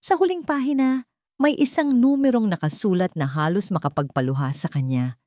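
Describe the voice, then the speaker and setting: A woman's voice: medium pitch, moderate pace, warm tone, no echo, clean studio sound. A woman with a Filipino accent reading a scripted dramatic story as its narrator.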